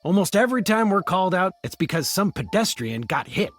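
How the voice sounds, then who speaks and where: A man playing an easygoing, gently teasing small-town police officer in a video game, with a casual, dry delivery. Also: high voice, big pitch swings, brisk, soft background music. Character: easygoing male small-town cop, mildly teasing and dismissive.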